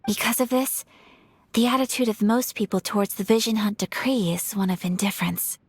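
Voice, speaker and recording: A woman playing a refined, composed young noblewoman in a video game, speaking in a formal, measured, polite way with a soft voice. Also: mid-range voice, breathy edge, natural voice. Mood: composed, serious. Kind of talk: video game dialogue acting.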